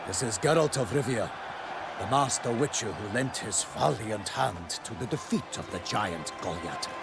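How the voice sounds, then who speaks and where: Courtly, proud fantasy game knight. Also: male voice, lowish voice, lively intonation, normal speed, vocal fry. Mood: genial, formal. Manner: formal, flourishing phrasing. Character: courtly, proud knight escorting a guest, storyteller to children. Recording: loud game music behind.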